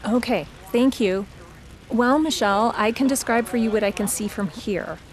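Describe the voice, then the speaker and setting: A woman's voice: on the high side, normal speed, natural voice. A woman playing a conversational, slightly uneasy field reporter describing a scene live in a radio drama. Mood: uneasy, observant.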